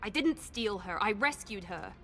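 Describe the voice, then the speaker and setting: Proud guarded game woman, intense but controlled, protective big sister type, soft music behind. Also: mid-range voice, animated, normal speed. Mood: hurt, angry. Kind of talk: video game voice acting.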